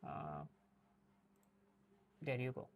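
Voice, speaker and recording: A presenter walking through a software tutorial in an explanatory way, partly scripted and partly improvised, often checking in with the listener. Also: very slow.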